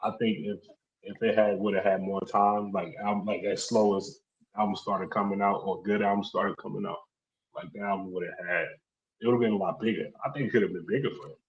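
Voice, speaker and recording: A man in his thirties co-hosting a casual hip-hop chat, measured and thinking aloud in long sentences. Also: lowish voice, steady tone. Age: 30s. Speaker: podcast co-host. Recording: dull, roomy mic sound.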